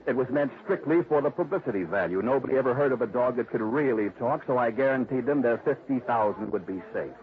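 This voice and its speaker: A man acting as a callous publicity man turned villain in a fifties radio play. He justifies himself defensively in a medium-pitched voice, on a thin, tinny old recording. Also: animated, fast, hardly any pauses, natural voice. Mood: defensive, explaining. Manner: defensive, explanatory. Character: callous, self-justifying publicity man confessing his crime. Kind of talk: 1950s radio drama acting.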